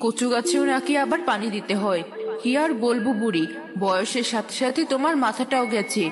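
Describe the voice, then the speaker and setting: Woman as a cheeky high-voiced young villager. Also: a little fast, big-room sound, close to an everyday voice. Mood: mocking. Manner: teasing, dismissive. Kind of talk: children's cartoon dubbing. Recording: music behind the voice.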